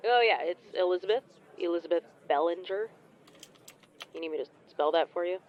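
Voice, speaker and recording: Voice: medium pitch, a little fast, lo-fi sound, close to an everyday voice. An actor plays a young nonbinary team spokesperson in an audio drama. The voice sits between male and female range, casual, chatty and wry, with a slightly dulled recording.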